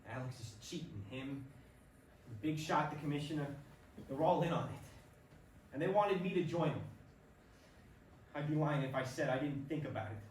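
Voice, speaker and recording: Earnest, pleading male athlete in grief man acting, stage drama, higher-pitched voice, echoey room. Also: normal speed, pauses often, lightly acted. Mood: tearful pleading, then angry grief. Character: Joey, earnest ballplayer, expectant father grieving his dying dad. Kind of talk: staged play reading, emotional dialogue. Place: theatre stage at a staged reading. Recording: roomy stage recording, distant mic, faint noise.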